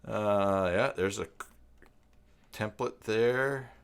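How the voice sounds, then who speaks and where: A man hosting a casual live stream, thinking aloud in a halting, rambling way as he browses. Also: on the low side, gravelly, clear, resonant tone. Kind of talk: casual live stream chat, partly reading web pages aloud.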